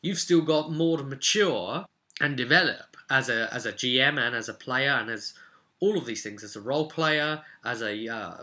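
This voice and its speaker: A man's voice: medium pitch, moderate pace, bright, forceful tone. A man hosting a vlog as a game master, explaining casually in a rambling, emphatic way and repeating words for emphasis. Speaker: game master and vidcast host.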